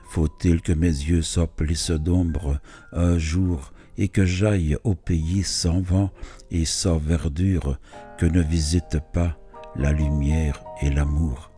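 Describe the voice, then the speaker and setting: Very deep-voiced man reciting poetry slowly. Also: dark tone. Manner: measured, phrase-by-phrase delivery with pauses. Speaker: poetry reader. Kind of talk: slow literary poetry recitation.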